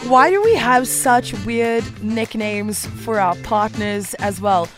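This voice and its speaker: A bubbly woman hosting a morning radio show, talking in a chatty, upbeat way with a slightly raised pitch. Her voice sits over a clear music bed in a radio broadcast mix. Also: slow. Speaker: radio host.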